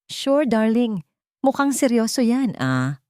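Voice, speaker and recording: A woman narrator acting a scheming wife in a romantic audio story. She is deceitful and defensive, pleading yet defiant. The voice sits higher, in a very dry recording. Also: big pitch swings, takes it slowly, darkish tone, no echo. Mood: breezy.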